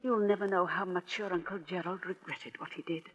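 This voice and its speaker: Husky, genteel aunt in old radio drama, played by a woman. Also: on the low side, steady tone, brisk, big-room sound, close to an everyday voice. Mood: wistful. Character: genteel, flustered, sentimental middle-aged aunt. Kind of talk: 1940s radio drama acting. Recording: dull, muffled 1940s radio recording.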